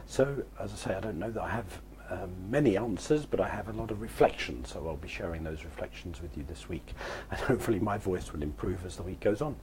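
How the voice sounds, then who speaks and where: Middle-aged male vlogger, reflective and informal, lowish voice worn hoarse and cracking into whispers, faint background noise. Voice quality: croaky, breaking into breathy whisper. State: hoarse, voice nearly gone. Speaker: host sharing personal reflections. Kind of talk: informal reflective talk to camera.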